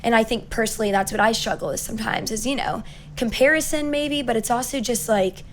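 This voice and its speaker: A young woman with a raspy voice, a singer-songwriter chatting upbeat as an interview guest, full of likes and ums. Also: on the high side, a little fast, slight background noise. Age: young adult. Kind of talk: casual interview chat.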